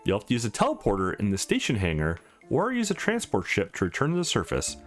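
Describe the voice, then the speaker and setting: A man's voice: on the low side, wildly swooping pitch, fast, soft background music. A man voicing a polite, matter-of-fact attendant at a space station dating service in a game, speaking formally. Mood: polite, informative. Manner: formal, scripted customer-service phrasing.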